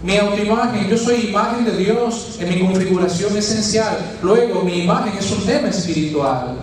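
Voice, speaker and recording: Dominican friar giving a sermon: high-voiced man, questions and homely sayings, caught from afar in a very reverberant church. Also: moderate pace, slight background noise. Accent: Dominican Spanish. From Dominican Republic. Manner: teaching with rhetorical questions and folksy asides. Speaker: preacher, friar. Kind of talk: sermon at a healing retreat. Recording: very echoey church, distant mic.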